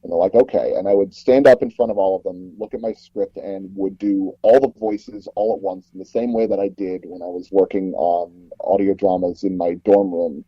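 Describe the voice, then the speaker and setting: A man being interviewed as a guest, telling personal anecdotes in a rambling, halting way and often circling back to rephrase. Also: sits low, fairly even intonation, husky, dry recording, muffled recording. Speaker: guest audio drama producer and actor.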